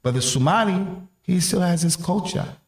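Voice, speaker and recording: A man's voice: high voice, expressive, normal speed. American man preaching in an echoey room. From North America. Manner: emphatic, repeating key phrases; colloquial, with rhetorical questions. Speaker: preacher giving a religious reminder to youth. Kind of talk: informal sermon.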